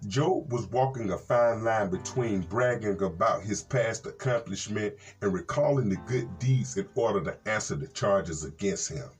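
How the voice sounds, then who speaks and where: American reverend reading scripture over guitar music. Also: male voice, on the low side, normal speed, few pauses. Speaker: reverend hosting a Bible reading program. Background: plucked guitar music.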